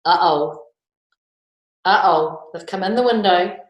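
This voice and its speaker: A woman's voice: fairly low pitch, slow pace. Echoey New Zealand woman reading to children. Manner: animated, playful, asks listeners questions; often chuckles as she talks. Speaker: school teacher reading picture books to children. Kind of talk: read-aloud storytime, talking children through a picture book. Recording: echoey, roomy home-style recording.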